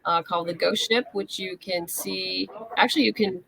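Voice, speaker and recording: A woman's voice: medium pitch, fairly even intonation, moderate pace, vocal fry, a bit bright, low-quality recording. A woman speaking casually as the guest in an interview.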